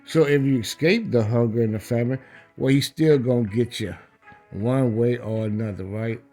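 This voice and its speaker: A man's voice: medium pitch, normal speed, mellow, faint music behind. A man preaching in a scripture read-along, emphatic, repeating phrases and checking in with rhetorical questions.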